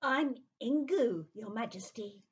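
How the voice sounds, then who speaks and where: A woman's voice: on the high side, lively intonation, takes it slowly, husky, lo-fi sound, full character voice. A woman voicing the smallest horned monster, timid and sweet, in a children's audio play. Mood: shy.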